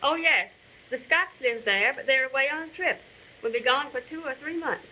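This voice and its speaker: A woman's voice: sits high, normal speed, bright, forceful tone. An actress playing a plain-spoken, mildly curious female neighbor in a 1940s instructional film. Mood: neighborly. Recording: dull, lo-fi 1940 film soundtrack.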